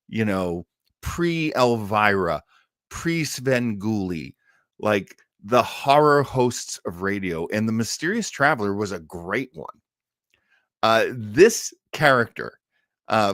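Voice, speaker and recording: A man's voice: lowish voice, a bit bright, dry recording, clean studio sound. A man hosting an old-time radio livestream, chatting casually and enthusiastically in a rambling way.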